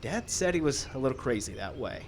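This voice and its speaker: A fussy screenwriter in a live radio comedy. He is middle-pitched, plaintive and deadpan, forever suspicious and put upon. Outdoor noise and birds sit behind him. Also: fairly quick. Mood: storytelling. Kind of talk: live old-time radio style comedy acting. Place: outdoor park stage. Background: birds and outdoor ambience.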